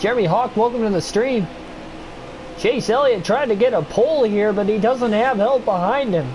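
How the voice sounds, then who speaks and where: A man's voice: high voice, moderate pace, background noise. A young man with a stuffy nose and a tiring voice, calling a video game race play-by-play and chatting with his stream viewers. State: stuffy nose, voice getting worn. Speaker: streamer doing race commentary. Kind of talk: play-by-play sim racing commentary.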